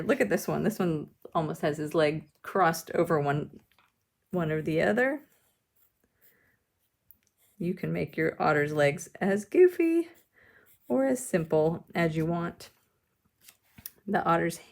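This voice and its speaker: A woman leading a drawing lesson, explaining each step simply and with gentle encouragement, as if to children. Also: lowish voice. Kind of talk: instructional demonstration, step-by-step.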